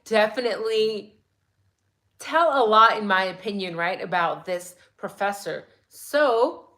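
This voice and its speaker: A woman hosting a casual true crime livestream, chatty and excitable, her voice a little raspy today. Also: mid-range voice, a little slow. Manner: chatty, excitable, many "like"s and "right?"s. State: slightly raspy voice.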